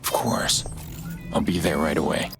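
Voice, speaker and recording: An actor playing a gruff middle-aged vigilante hacker in a video game, terse and deadpan, with a gravelly voice. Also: male voice, measured pace, music in the background. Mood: grim, measured. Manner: terse, low-key, deadpan. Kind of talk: video game dramatic acting.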